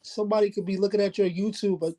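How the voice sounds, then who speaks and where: Mellow-voiced encouraging male co-host. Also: high voice, steady tone, fast talker. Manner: warm, encouraging, repeats words as he talks. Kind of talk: casual chat. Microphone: video call.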